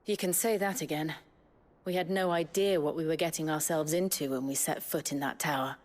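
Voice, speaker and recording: An actress playing a steady, plain-spoken companion in a video game drama. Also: female voice, deep voice, a little fast, close to an everyday voice. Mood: wry. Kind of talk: video game cutscene acting.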